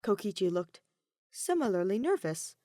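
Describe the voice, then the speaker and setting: A woman reads fiction aloud in a scripted storytelling style. Her pitch sits in the middle range for a woman, and the sound is very dry and close, with no room around it. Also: big pitch swings, normal speed, warm tone, no room sound. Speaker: fan fiction audiobook reader. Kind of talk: scripted story narration with acted dialogue.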